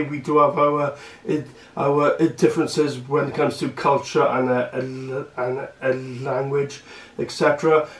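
Halting man, roomy distant sound. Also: fairly high pitch. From Wales. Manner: halting, many fillers and restarts, earnest. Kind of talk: casual vlog speech to camera. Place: small room at home, indoors. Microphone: camera across the room. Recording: echoey room, distant camera mic.

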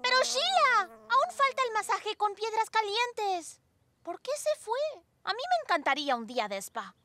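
A woman's voice: extremely high pitch, sing-song, moderate pace, sharp tone, no echo, exaggerated voice. A woman playing an upbeat, determined young girl heroine in a dubbed children's cartoon, squeamish and theatrical in manner. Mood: dismayed. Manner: exclamatory, theatrical. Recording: music bed under the dialogue.

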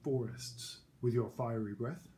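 A man's voice: mid-range voice, steady tone, unhurried, warm tone, slight background noise, lightly acted. A man voicing a clever, bold young princess in a read-aloud picture book, eager and emphatic. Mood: coaxing.

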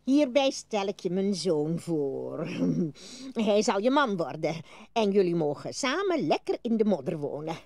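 A woman's voice: medium pitch, sing-song, a little slow, darkish tone, dry recording. A woman voicing an ugly, scheming mother frog in a storybook tale, fussing and gleeful as she plans for her son. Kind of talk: storybook audio dramatization.

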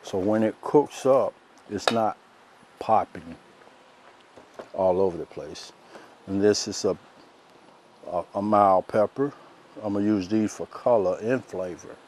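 Soft-spoken man cooking outdoors. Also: on the low side, unhurried, pauses often, slight background noise. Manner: soft-spoken, folksy and relaxed. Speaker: chef host. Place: outdoors by a lake in woods.

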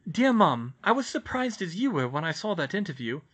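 A man plays a shy young schoolgirl in a storybook, pitched up and bright. She is earnest and reassuring, as if writing home to family. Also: big pitch swings, brisk, breathy edge, full character voice.

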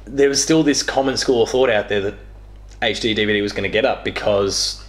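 A man's voice: on the low side, brisk. A young man telling gaming stories in casual chat as a guest, in a rambling, self-correcting way. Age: young adult. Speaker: interview guest. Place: small living room, on a couch. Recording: echoey living room.

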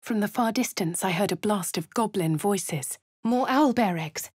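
A woman with a posh English accent voicing a wry young female adventurer in a video game, crisp and clipped. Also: medium pitch, measured pace, a little mellow, no echo. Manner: crisp, clipped, dryly sardonic. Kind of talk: video game character voice lines. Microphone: close studio mic. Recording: dry studio recording.

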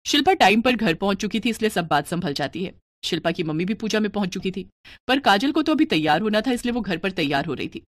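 A woman narrates a scripted story in a storyteller's manner. Her pitch is medium and the recording is dry and close. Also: lively intonation, fast talker, dry recording.

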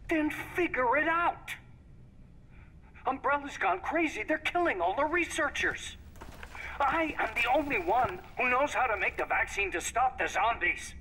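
Bright-voiced, frantic, arrogant scientist. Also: male voice, extremely high pitch, expressive, measured pace, slightly rough, full character voice, poor audio. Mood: angry, demanding. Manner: agitated, demanding, exasperated. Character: desperate, arrogant, foul-mouthed male research scientist. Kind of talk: dramatic video game acting.

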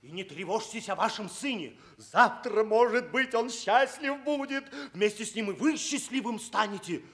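A frantic fairy-tale husband in a radio play. His high voice swings widely as he scolds and exclaims in grand theatrical fashion. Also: lively intonation, normal speed, a bit bright. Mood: urgent, reassuring. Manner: exclamatory, declamatory.

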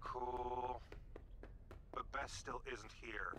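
A man playing a put-upon, sardonic office assistant in a video game, snippy and deadpan in manner. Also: mid-range voice, drawn-out words. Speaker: news assistant. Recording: game call with noisy ambience.

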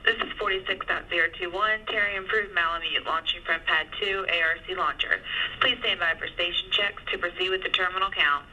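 A woman's voice: lowish voice, a little fast, pushed and bright, poor audio. A woman working as a range safety officer, speaking in matter-of-fact procedural style over radio. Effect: radio transmission.

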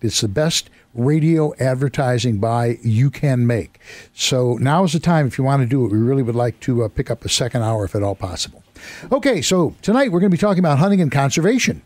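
A male radio host with a hoarse, worn voice, talking in a chatty, rambling, self-deprecating way in a studio. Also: mid-range voice, animated, fairly quick, a little mellow, no echo. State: hoarse, losing his voice. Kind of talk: casual radio talk. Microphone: studio microphone.

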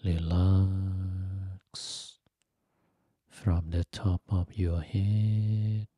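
A man leading a guided meditation in a soft, breathy, gentle voice, speaking in short phrases with long pauses between them. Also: low voice, flat delivery, no echo. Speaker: Buddhist monk teaching meditation.

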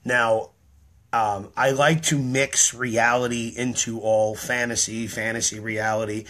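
A man in his thirties talking to his camera indoors, tired and slightly hoarse, explaining his act in an earnest, rambling way full of ums. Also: mid-range voice, little pitch movement, measured pace, fairly bright tone. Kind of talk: casual talk to camera. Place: small room indoors. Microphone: webcam or phone at close range.

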